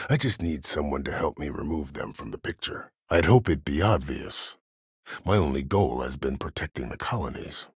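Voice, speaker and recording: An actor playing an imprisoned, disgraced admiral in a video game, a calculating schemer who speaks with cold courtesy in measured, formal, deliberate phrases. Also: male voice, very deep voice, breathy edge, no echo, studio quality, heavily acted. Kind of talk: video game character dialogue.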